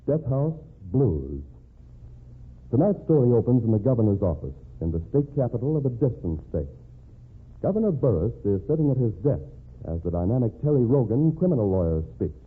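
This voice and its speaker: Animated old-time radio announcer. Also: male voice, medium pitch, a little fast, husky. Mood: dramatic. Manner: theatrical, formal announcing. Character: radio show announcer and scene-setting narrator. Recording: tinny vintage radio recording.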